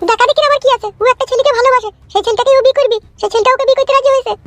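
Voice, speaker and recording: A woman voicing a crafty, bossy middle-aged mother-in-law in a cartoon, with a pitched-up effect. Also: fairly even intonation, racing, a bit bright. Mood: bossy, scheming. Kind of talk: comic cartoon dialogue.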